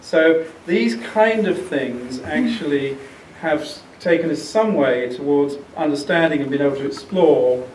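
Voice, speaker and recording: An older man giving a public lecture, speaking in a measured, explanatory way with frequent pauses. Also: fairly high pitch, lively intonation, darkish tone, slight background noise. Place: large lecture theatre. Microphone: podium mic, then handheld. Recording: echoey hall picked up from a distance.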